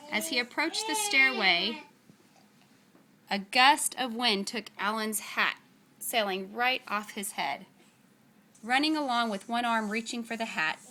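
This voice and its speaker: A woman reads a children's story aloud in a bright, clear-cut storytelling style. Her phrasing is deliberate and dramatic, with pauses. There is some faint noise behind her. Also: fairly high pitch. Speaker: storyteller reading a picture book aloud. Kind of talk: expressive read-aloud narration of children's story.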